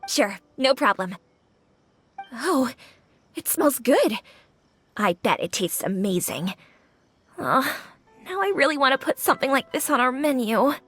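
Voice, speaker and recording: An actress playing an enthusiastic, friendly young restaurant owner in a video game, full of exclamations. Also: female voice, high voice, sing-song, unhurried, pauses often, a bit bright, slightly rough, soft background music, full character voice. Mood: curious.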